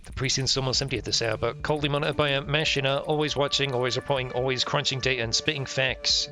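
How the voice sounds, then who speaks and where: A man's voice: medium pitch, fairly even intonation, quick pace, pushed and bright. Man reading game text aloud over music. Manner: irreverent, ad-libs jokes and mutters reactions. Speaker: streamer playing a visual novel. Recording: music playing behind the voice.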